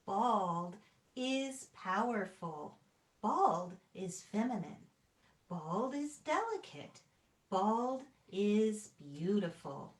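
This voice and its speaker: A woman's voice: medium pitch, expressive, drawn-out words, echoey room. A woman who is an actor and children's storyteller, speaking in a theatrical way. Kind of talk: dramatic read-aloud and talk.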